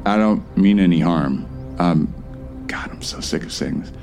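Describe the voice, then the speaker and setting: A man's voice: low-pitched, measured pace, creaky, darkish tone, soft background music. A man playing a nervous, harmless stranger in an audio drama, hesitant and placating as he explains himself. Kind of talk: post-apocalyptic audio drama acting.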